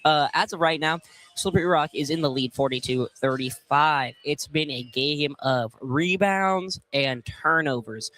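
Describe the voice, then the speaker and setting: A man's voice: a little high, a little slow, bright, forceful tone. A young man giving live basketball commentary and analysis as a broadcast host. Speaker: sports commentator. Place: indoor basketball field house.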